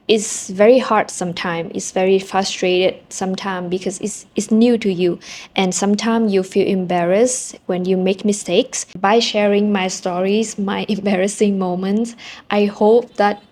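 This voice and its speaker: A woman's voice: medium pitch, steady tone, unhurried, dark tone. Vietnamese woman vlogging in English. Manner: candid and self-deprecating, with easy fillers. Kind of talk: casual storytelling to camera. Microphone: small handheld camera mic.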